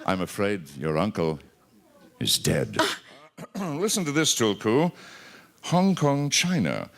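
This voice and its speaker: An actor playing a solemn crime-fighting mystic in a stage re-creation of an old radio drama, grave and deliberate. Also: male voice, lowish voice. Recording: live convention stage recording.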